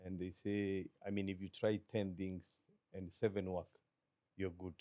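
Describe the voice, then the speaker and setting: A middle-aged Kenyan man with a Kenyan accent, a business guest talking in a measured, reflective way and slipping into Swahili. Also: sits low, little pitch movement, warm tone, no echo. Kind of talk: relaxed interview talk.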